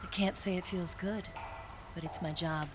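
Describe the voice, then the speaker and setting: An actress playing a cool, confident young female spy in a video game, teasing and smooth. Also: on the low side, steady tone, moderate pace, music in the background, lightly acted. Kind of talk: video game cutscene acting. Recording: noisy ambient background.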